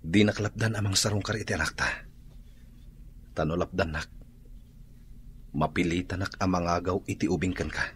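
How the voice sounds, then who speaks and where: A man's voice: low voice, steady tone, moderate pace, long pauses, fairly bright tone. An actor playing an earnest young rancher and devoted father in an Ilocano radio drama. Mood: insistent. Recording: noisy recording.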